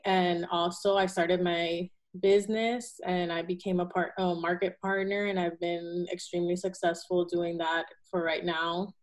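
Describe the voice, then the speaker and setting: A young woman from Venezuela, a guest in a casual interview, talking earnestly with fillers and self-corrections. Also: lowish voice.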